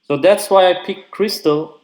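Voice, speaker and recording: A man's voice: sits high, measured pace, darkish tone. Chatty man giving a remote talk. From Turkey. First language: Turkish. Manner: frequent "uh" fillers, loose and chatty. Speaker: conference speaker. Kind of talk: casual technical talk. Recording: echoey remote call audio.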